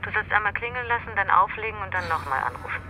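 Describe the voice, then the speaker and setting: An actress playing a brisk, businesslike commissario's wife, heard through a telephone filter in a radio drama. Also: female voice, mid-range voice, expressive. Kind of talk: radio drama phone dialogue.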